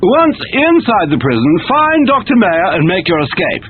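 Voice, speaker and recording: A man's voice: sits high, big pitch swings, moderate pace. A man playing a military commander giving a formal, emphatic mission briefing in a game through a radio filter. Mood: instructive. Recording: thin phone-like radio sound, faint noise.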